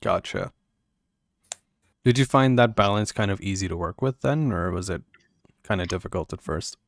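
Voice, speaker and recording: A young man from Canada hosting a casual podcast chat, relaxed and full of fillers, laughing easily. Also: deep voice, normal speed, vocal fry, dry recording, studio quality. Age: young adult. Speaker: podcast host.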